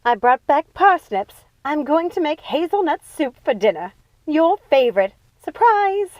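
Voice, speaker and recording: A woman's voice: high-pitched, big pitch swings, unhurried, darkish tone, dry recording, pitched above the performer's own voice, rough recording. A woman voicing a theatrical, scheming witch mother from a storybook, falsely sweet, sing-song and easily exasperated. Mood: showy, sweet. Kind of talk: storybook character voice.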